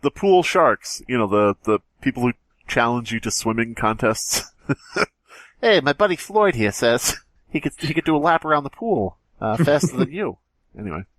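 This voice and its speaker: A man's voice: mid-range voice, very animated, normal speed, dry recording. A man co-hosting a comedy podcast, jokey and sweary, bantering casually and reading a story aloud. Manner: jokey, puts on silly voices, swears. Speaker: podcast co-host.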